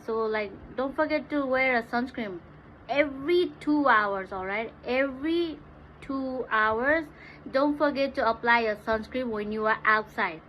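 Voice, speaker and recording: A woman's voice: fairly high pitch, a little slow, fairly bright tone. A woman with a Nepali accent chatting casually and playfully as a livestream host. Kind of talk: casual livestream chat, mixing English and Hindi. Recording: dull lo-fi livestream audio, clear background noise.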